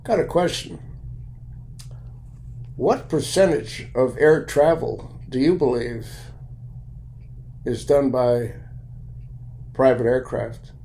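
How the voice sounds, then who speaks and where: Older American male vlogger, gravelly and blunt, deliberate phrasing, mid-pitched, light noise behind. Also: dark tone. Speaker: video commentator and private pilot. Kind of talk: opinion monologue to camera.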